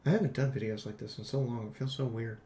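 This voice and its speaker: Male game reviewer, mid-pitched, rambling and casual with animated slangy asides, recorded in an echoey room. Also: fast, a little hiss or hum. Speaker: video game reviewer and streamer. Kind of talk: casual unscripted game commentary.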